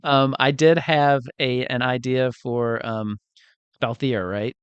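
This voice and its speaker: A man's voice: medium pitch, steady tone, takes it slowly, clear, resonant tone, dry recording, lo-fi sound. A man chatting casually as the game master of a tabletop campaign, joking and acting out quotes. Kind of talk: casual group chat about a game.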